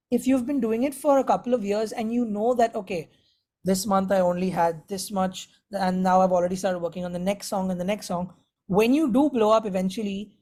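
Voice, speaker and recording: A man's voice: high voice, darkish tone. A man giving conversational answers as a musician being interviewed. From India.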